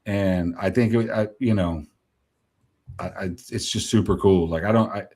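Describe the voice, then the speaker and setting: Low, mellow-voiced Gen X man. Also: moderate pace. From New Jersey, US. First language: Spanish. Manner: rambling, filler-heavy, candid. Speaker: guest. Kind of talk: casual interview chat.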